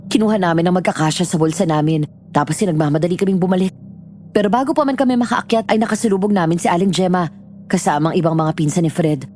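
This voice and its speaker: A woman's voice: fairly low pitch, fast, warm tone, soft background music. A woman narrating a scripted first-person horror story.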